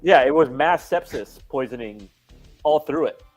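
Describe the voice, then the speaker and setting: A man's voice: medium pitch, expressive, moderate pace, faint music behind. A man co-hosting a live talk show, ranting in a heated, casual way.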